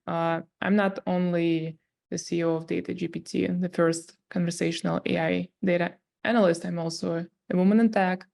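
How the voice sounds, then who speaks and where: Woman, strong Russian accent, 30s, lowish gravelly voice, earnest interview guest. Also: darkish tone. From southern Russia. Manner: earnest, frequent fillers. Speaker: guest, tech company CEO. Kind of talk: interview storytelling.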